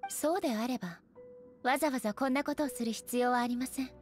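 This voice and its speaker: An actress playing a stern, aloof woman shogun in an anime game, speaking formally with measured authority. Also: high-pitched, soft background music, natural voice. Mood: stern, composed. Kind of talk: anime game character acting.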